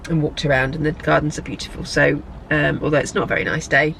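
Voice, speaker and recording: A woman's voice: sits low, steady tone, fast, gravelly, fairly bright tone, slight background noise, low-quality recording. A woman chatting casually to camera in a rambling way, a bit bunged up from a cold. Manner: rambling, chatty, self-correcting. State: congested from a cold. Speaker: lifestyle vlogger. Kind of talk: casual vlog chat. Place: parked car, then a hotel room. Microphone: camera on a tripod.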